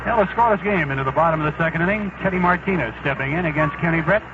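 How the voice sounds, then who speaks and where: High-pitched male radio baseball announcer. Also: very fast. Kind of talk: scripted sponsor reads and game handoff. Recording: tinny, noisy 1970s radio broadcast.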